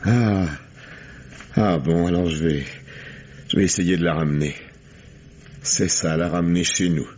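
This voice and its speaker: A husky, drink-worn sad-sack husband. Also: male voice, sits low. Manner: hesitant, rambling, self-pitying. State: has been drinking. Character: weary, drink-worn middle-aged husband, self-pitying. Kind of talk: 1950s French radio drama acting. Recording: muffled old radio broadcast.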